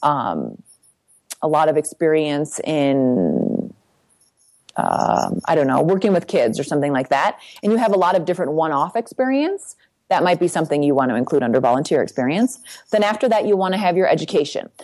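Brisk woman giving career advice. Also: low voice, sing-song, darkish tone. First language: English. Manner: brisk, practical, tag questions like "right?". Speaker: guest career coach. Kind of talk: advice interview.

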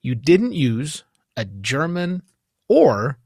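Very animated male podcast host, dry sound. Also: fairly high pitch, extremely slow, slightly rough, no room sound. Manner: emphatic, conversational. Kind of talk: casual enthusiastic interview.

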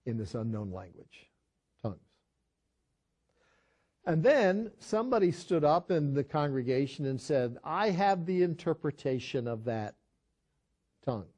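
A man's voice: mid-range voice, mellow. An older man, a pastor, teaching a Bible study in a conversational sermon style, telling a story earnestly with frequent restarts.

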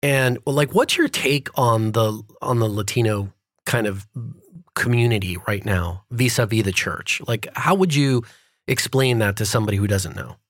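A man's voice: fairly low pitch, normal speed, fairly bright tone, no room sound, studio quality. A man hosting an interview podcast, talking informally and building up long, conversational questions. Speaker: podcast host.

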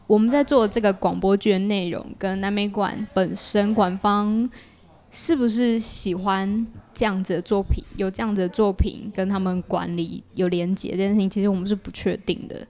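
A woman with a Taiwanese accent talks as a guest in a casual interview. Her voice sits at an average pitch. She explains her creative work conversationally, hedging and adding small asides as she goes. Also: fairly even intonation, normal speed, a little mellow. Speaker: podcast guest, audio drama creator. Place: small partitioned dessert cafe in an alley.

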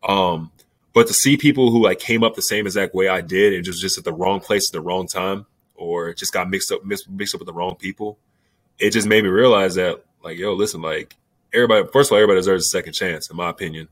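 A man's voice: low-pitched, steady tone, fast talker, no echo. A man in his twenties, a pro basketball player, giving candid interview answers in a laid-back, rambling way full of fillers. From New York.

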